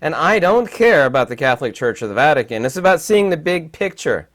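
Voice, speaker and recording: A man with a raspy, hoarse voice while recovering from illness, giving rambling, emphatic commentary with many fillers. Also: fairly high pitch, very animated, a little fast, fairly bright tone. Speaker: channel host. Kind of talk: casual commentary to camera.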